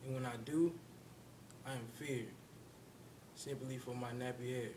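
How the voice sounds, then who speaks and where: A man reciting his own spoken-word poem in a measured, solemn, deliberate way. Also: fairly even intonation. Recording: rumbling background noise.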